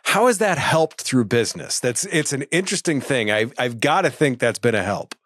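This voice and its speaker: A man's voice: medium pitch, animated, moderate pace, a bit bright, dry recording, studio quality. A man hosting a podcast interview, friendly and affirming, with hesitant fillers. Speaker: podcast host. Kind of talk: casual interview chat.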